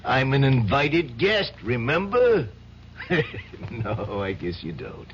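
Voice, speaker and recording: A man's voice: medium pitch, sing-song, slow, slight background noise. An actor playing a tough, slangy, loyal and menacing streetwise man in an old radio drama. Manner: gruff, slangy, wisecracking. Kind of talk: 1970s radio drama acting. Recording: dull old radio broadcast.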